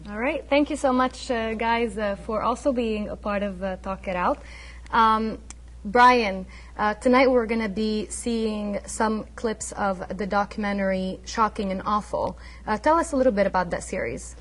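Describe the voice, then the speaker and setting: A woman's voice: mid-range voice, normal speed. A woman hosting a live community television talk show, introducing her guest in a friendly, welcoming way with some fillers.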